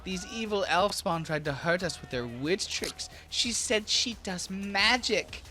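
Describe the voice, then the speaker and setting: Guy doing a bratty little frost giant girl, way high pitched, tattling, bright, tabletop game. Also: animated, unhurried, faint music behind, exaggerated voice. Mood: indignant, tattling. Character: indignant seven-year-old frost giant girl, tattling.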